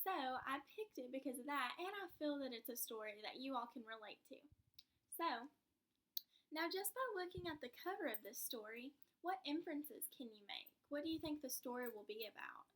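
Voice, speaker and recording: A woman's voice: sits high, expressive, normal speed, fairly bright tone, low-quality recording. A woman teacher giving a read-aloud lesson in an encouraging, instructive manner with guiding questions.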